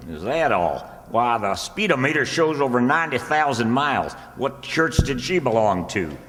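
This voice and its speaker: An actor playing an earnest, gullible small-town rustic schemer, folksy and homespun, in old-time radio comedy. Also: male voice, medium pitch, big pitch swings, moderate pace, fairly bright tone. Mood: doubtful haggling. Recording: echoey hall with long reverb.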